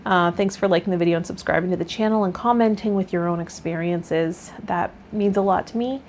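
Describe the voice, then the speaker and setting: A woman hosting a tarot vlog, talking in a gentle, encouraging way as she reads and explains. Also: on the low side, brisk, a little hiss or hum. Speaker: tarot reader and channel host. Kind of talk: reading aloud and casual spiritual vlog talk.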